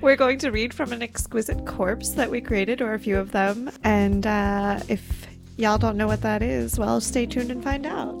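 A woman's voice: a little high, moderate pace, creaky, few pauses, over music. A woman co-hosting a podcast in casual, joking chat. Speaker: podcast co-host.

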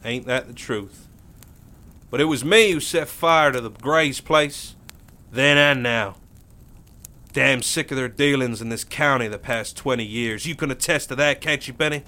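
A man's voice: medium pitch, measured pace, pushed and bright, voice pushed up. A man voicing a weary, stubborn former sheriff turned gunslinger, talking in a folksy western drawl.

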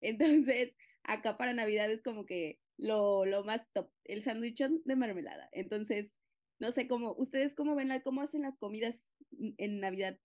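A woman from Yucatán with a Mexican accent, chatting in a lively way and asking the others questions. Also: on the high side, normal speed. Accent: Mexican Spanish. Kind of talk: casual chat.

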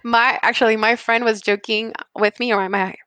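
A woman's voice: on the high side, fairly even intonation, moderate pace, sharp tone, no echo. A woman in her thirties from Puerto Rico, a podcast guest talking candidly with frequent laughs and self-corrections. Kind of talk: conversational interview.

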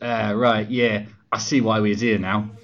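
A woman's voice: rumbling low, level tone, unhurried. A woman playing a cocky young male Galileo as a brash lad with London street slang, in a comedy sketch. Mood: sheepish. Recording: dull, lo-fi audio.

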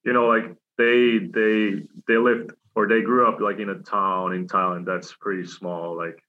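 A man's voice: on the low side, little pitch movement, measured pace. A young man from Switzerland, a hockey player, answering interview questions casually with many fillers and restarts. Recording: dull lo-fi sound.

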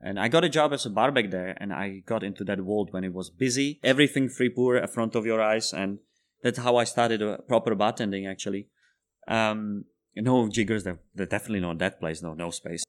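A man's voice: lowish voice, fairly quick. Czech-born man telling bartending stories. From Czech Republic. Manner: animated storyteller, laughs while telling anecdotes. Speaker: guest bartender. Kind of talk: casual interview storytelling.

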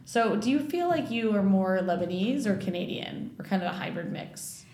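A woman's voice: mid-range voice, animated, normal speed, darkish tone. Curious woman interviewer, echoey room. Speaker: interviewer, podcast host. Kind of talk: interview conversation.

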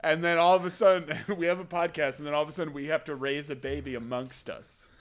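A man co-hosting a comedy podcast, excitable and animated, often laughing. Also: on the high side, fast. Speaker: podcast co-host. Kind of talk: casual comedic chat.

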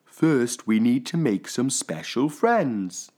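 A sleepy daddy grizzly bear from a children's storybook, put on by a man. He speaks slowly and wearily, stretching his words with patient emphasis and big rises and falls. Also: mid-range voice, very animated, darkish tone, voice pushed up. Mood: patient, explaining. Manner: mumbling, yawning, drawn-out emphasis.

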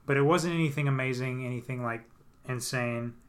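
A young man co-hosting a casual podcast, talking in a relaxed, hesitant way with lots of fillers and restarts. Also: medium pitch, brisk. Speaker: podcast co-host. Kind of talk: casual chat.

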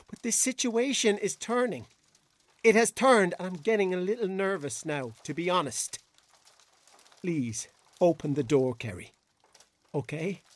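Blunt, rattled young woman, very expressive. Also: mid-range voice, no room sound. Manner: blunt, halting, exasperated. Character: blunt, sweary, hungover young adult, increasingly rattled. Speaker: actor in an audio drama. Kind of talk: naturalistic radio drama acting.

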